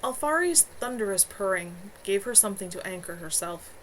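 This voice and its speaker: A woman's voice: medium pitch, expressive, a little fast, fairly bright tone, slight background noise, poor audio. A woman author reading her own novel aloud live from home, earnest, with a few small stumbles.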